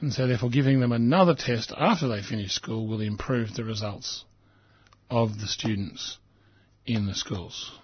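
Hoarse Australian man, talk radio host, husky and dry, lo-fi sound. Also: medium pitch, normal speed, no room sound. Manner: hesitant, many ums, repeats words. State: hoarse, croaky voice. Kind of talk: casual talk radio commentary.

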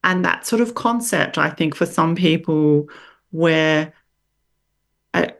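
Woman, podcast host, low voice, reflective and measured. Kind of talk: interview conversation.

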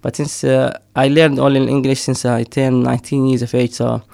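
Man, middle-aged, gravelly, dry close sound, hesitant with fillers. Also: medium pitch, level tone, fairly quick, darkish tone, no echo. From Somaliland. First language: Somali. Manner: hesitant, many fillers, frequent laughs. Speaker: poet and storyteller. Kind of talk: casual interview talk.

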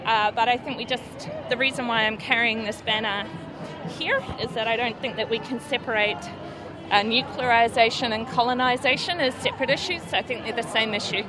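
A woman's voice: fairly high pitch, a little fast. A woman with a New Zealand accent explaining her views earnestly and a little hesitantly as an interviewed protester. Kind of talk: unscripted explanation in street interview. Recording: street recording with music and crowd. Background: music and crowd.

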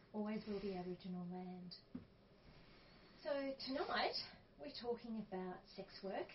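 A woman with an Australian accent and a soft voice, co-hosting a community radio talk show in the studio and chatting loosely with restarts and fillers. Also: mid-range voice, dull audio, a little hiss or hum. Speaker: radio co-host.

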